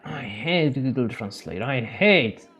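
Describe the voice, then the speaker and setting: A man hosting a gaming channel, recapping a game's story in halting non-native English and breaking off for joking asides. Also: medium pitch, animated, fairly bright tone, husky. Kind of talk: game story recap with commentary.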